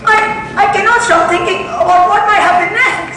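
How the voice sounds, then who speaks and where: A woman acts out an overwrought survivor losing their nerve in a stage mystery. The voice is very high and halting, full of stammered repeats. It comes from far off in a very echoey space with loud background noise. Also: steady tone. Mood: panicked, despairing. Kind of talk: amateur stage play acting.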